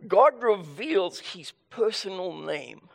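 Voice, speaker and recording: A man with a South African accent preaching a sermon and reading scripture, his voice raspy and hoarse from illness, earnest with frequent restarts. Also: high-pitched, expressive, takes it slowly, a bit bright, in a large hall. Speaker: pastor.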